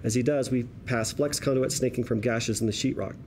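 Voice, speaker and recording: A man reading scripted stage directions as narrator at a table read, in a measured, descriptive storytelling manner. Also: medium pitch, steady tone, darkish tone. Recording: faint room noise.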